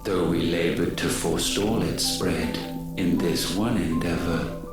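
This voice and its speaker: An old godlike game villain, solemn n haughty, super echoey with loud music behind. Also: male voice, low voice, steady tone. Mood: solemn, grave. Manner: portentous, archaic, measured. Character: ancient godlike male being, grave, haughty, condemning mankind. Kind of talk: solemn scripted video game monologue. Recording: echoing voice over loud music.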